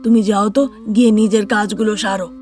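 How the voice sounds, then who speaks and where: A woman's voice: medium pitch, fairly even intonation, fast talker, a little mellow, soft background music, lightly acted. A woman playing a placid, reluctant elderly husband and grandfather in a cartoon, speaking in a mild, grumbling manner. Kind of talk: Bengali cartoon dialogue.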